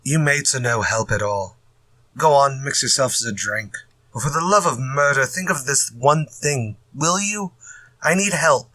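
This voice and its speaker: An actor playing an exasperated, fretful mystery writer in a retro radio drama. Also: male voice, medium pitch, expressive, a little slow, pushed and bright, no room sound, low-quality recording. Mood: testy. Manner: fretful, muttering to himself when stuck. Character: exasperated male mystery writer stuck on his story.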